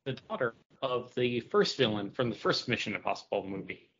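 Bright, animated man on lo-fi audio. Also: medium pitch, fairly quick, vocal fry, breathy edge. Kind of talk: casual chat, quick interjections.

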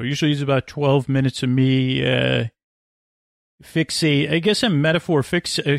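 A man with a creaky voice hosting a sleep podcast, rambling gently and lullingly, often breaking off and drifting into tangents. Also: medium pitch, no echo, clean studio sound. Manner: meandering, digressive, halting with self-interruptions. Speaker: podcast host. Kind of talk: rambling, soothing bedtime monologue.

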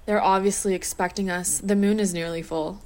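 A woman's voice: medium pitch, fairly even intonation, a little fast, lightly acted. An actress playing a terse, short-tempered and protective werewolf woman in a supernatural audio drama. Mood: tense, brooding.

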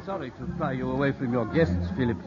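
A man's voice: medium pitch, fast, a crowd in the background, lightly acted. A man acting a cautious, stern older English family friend in a 1950s radio drama, sober and admonishing. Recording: dull vintage radio recording with music behind.

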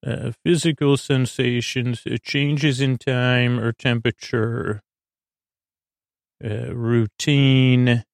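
A man with a creaky voice hosting a sleep podcast, giving a soothing, halting bedtime monologue that keeps meandering off into digressions. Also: medium pitch, fairly even intonation, no echo, studio quality. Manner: lulling, soothing. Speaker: podcast host. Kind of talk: rambling bedtime monologue to put listeners to sleep.

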